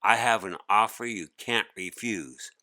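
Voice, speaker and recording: A man acts out a tiny old male gnome from a children's storybook, low in voice. He is shifty and chummy, with an unhurried, wheedling dealmaker's patter. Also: bright, forceful tone, no echo. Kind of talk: storybook character voice.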